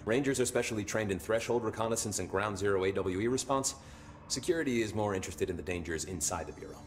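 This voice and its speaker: An actor playing a blunt, wry security officer in a video game, talking casually with a sardonic streak. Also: male voice, fairly low pitch, fast, natural voice. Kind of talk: video game dialogue acting. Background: music.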